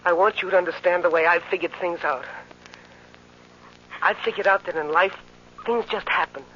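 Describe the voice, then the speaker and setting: A man's voice: high-pitched, moderate pace, close to an everyday voice. An actor playing an earnest, naive young man in a 1940s radio drama, emotional and pleading. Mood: earnest, resigned. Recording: muffled, hissy old radio recording.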